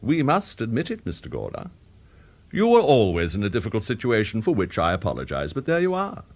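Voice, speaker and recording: An actor in a radio drama playing a suave villain, formal and mock-courteous, with quiet menace beneath the politeness. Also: male voice, fairly low pitch, huge pitch swings, fairly quick, full character voice. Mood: smooth menace.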